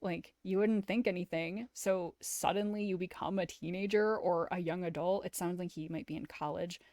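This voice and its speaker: A woman's voice: fairly low pitch, steady tone, moderate pace, a little mellow, no room sound. A woman giving informal, opinionated commentary as a game streamer, peppering her speech with "like". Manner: opinionated, informal, uses "like" often. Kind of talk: casual commentary and visual novel read-aloud.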